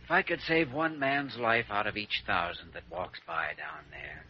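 Earnest, low-voiced young American doctor. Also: male voice, moderate pace, husky. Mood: earnest, pensive. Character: earnest, idealistic young male doctor, gently teasing. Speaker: radio drama actor. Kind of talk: 1940s radio drama acting. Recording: dull old radio broadcast.

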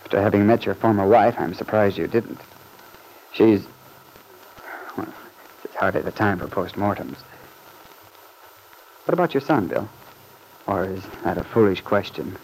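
An actor playing a wry, urbane bachelor in an old-time radio drama, with a wordy, sociable manner. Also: male voice, fairly low pitch, expressive, measured pace, vocal fry, long pauses, a little mellow, a little hiss or hum, rough recording. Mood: wry, sympathetic. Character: urbane bachelor man about town, wry, sociable friend.

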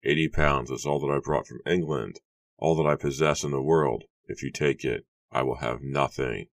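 A man's voice: very deep voice, fairly even intonation, moderate pace, no room sound. A man voicing a desperate older husband and father in an audiobook, with trembling, pleading, emotional delivery. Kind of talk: dramatic audiobook character voice.

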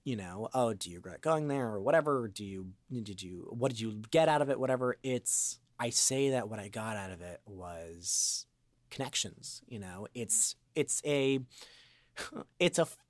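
A man's voice: on the low side, expressive, normal speed, dry recording. Earnest young man, very dry close sound. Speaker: voice actor, podcast guest. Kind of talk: casual reflective interview chat.

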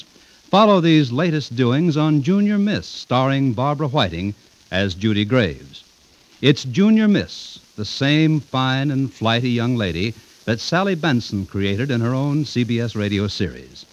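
Animated American man, vintage radio announcer. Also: mid-range voice. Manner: polished, formal. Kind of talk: 1950s radio announcing, scripted. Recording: muffled vintage radio recording.

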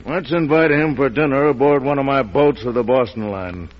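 An actor playing a blunt, impatient tycoon in an old radio drama, plain-spoken and hard-nosed. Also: male voice, mid-range voice, moderate pace, close to an everyday voice. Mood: brusque. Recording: dull old radio recording.

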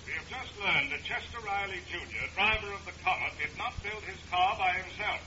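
An actor playing a stern, formal race announcer over a public-address speaker in a 1940s radio play. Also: male voice, sits high, brisk, bright, forceful tone, slight background noise. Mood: stern, official. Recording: thin, muffled old radio recording.

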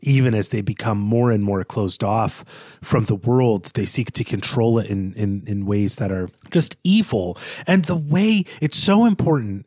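A man co-hosting a podcast, discussing literature in a halting, emphatic way with repeated words. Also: on the low side, a little mellow, no room sound, studio quality. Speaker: podcast host.

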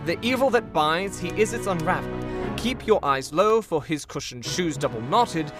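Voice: mid-range voice, lively intonation, normal speed, over music, natural voice. A performer playing a nervous, fawning, bumbling warlock minion in a comedic fantasy audio drama, hesitant and obsequious. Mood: reciting prophecy.

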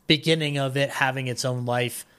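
A man's voice: medium pitch, steady tone, normal speed, fairly bright tone, clean tone. A man chatting casually as a podcast guest, rambling enthusiastically and voicing his own thoughts aloud. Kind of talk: casual chat.

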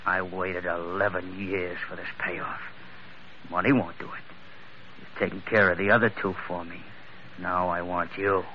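Man playing low, grim avenger, muffled old radio. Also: little pitch movement, moderate pace, natural voice. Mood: menacing. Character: grim, vengeful man gloating over long-awaited payback. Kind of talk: 1940s radio melodrama acting. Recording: muffled old radio recording, faint hiss.

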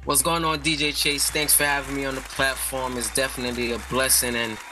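A man from the Bronx, a guest musician, talking in a relaxed, explaining way with lots of "you know". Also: medium pitch, brisk, music in the background. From North Bronx, New York. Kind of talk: casual interview.

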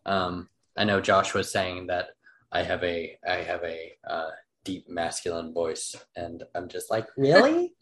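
A man's voice: low-pitched, very animated, unhurried, fairly bright tone. A young man talking as a podcast guest in a casual chat, hesitant, with frequent "um"s and restarts. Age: young adult.

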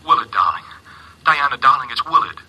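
An unstable, lovesick young man, in the manner of 1940s radio acting. His voice is tense and bright with a raspy, breathy edge. He pleads and grows frantic. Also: medium pitch, sing-song, brisk, exaggerated voice, poor audio. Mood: pleading. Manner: pleading, then frantic and rising.

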